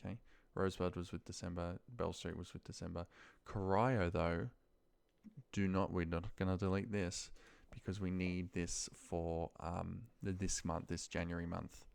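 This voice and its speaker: A man giving a casual screen-share walkthrough as a trainer, thinking aloud in a halting, muttering way as he works. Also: deep voice, flat delivery, gravelly, a little mellow, clean tone, no room sound. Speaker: trainer demonstrating office data work. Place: voice-over of a screen recording.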